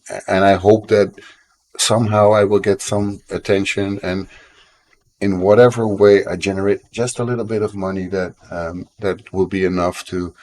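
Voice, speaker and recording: Dutch man, 30s, deep voice; rambling, self-deprecating indie dev streaming from his home desk, slight cough. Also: a little mellow. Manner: rambling, self-deprecating, frequent fillers and restarts. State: coughing now and then. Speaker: game developer streamer. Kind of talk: casual live-stream chat.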